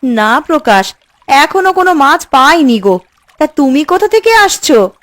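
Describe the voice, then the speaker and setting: Woman playing a high-voiced scolding village mother. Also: big pitch swings, unhurried. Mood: concerned. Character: scolding, hard-working poor village mother. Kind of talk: Bengali cartoon voice acting.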